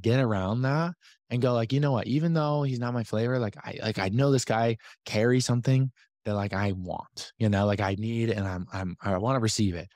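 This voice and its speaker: A man hosting a business podcast, talking casually to his community with a slightly hoarse voice and lots of fillers and asides. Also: mid-range voice, no room sound, studio quality. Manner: energetic, chatty, many fillers and asides. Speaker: podcast host and business coach. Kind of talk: casual talk to a community call.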